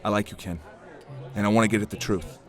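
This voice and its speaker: An actor playing a serious, worried investigator with clipped hard-boiled delivery in a noir audio drama. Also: male voice, lowish voice, fairly even intonation, quick pace, a faint crowd, close to an everyday voice. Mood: worried, urgent. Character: earnest, worried male investigator in noir moon city.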